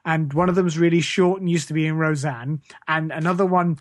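A woman's voice: low voice, fairly even intonation, a little fast, no room sound, clean studio sound. A woman co-hosting a comedy podcast, chatting in a rambling, hesitant, faux-naive way. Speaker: podcast co-host. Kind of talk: casual comedy chat.

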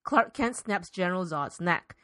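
A woman co-hosting a comedy podcast with a dry, deadpan manner. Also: fairly low pitch, normal speed, no room sound. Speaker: podcast co-host. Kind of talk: scripted narration and casual comedy chat.

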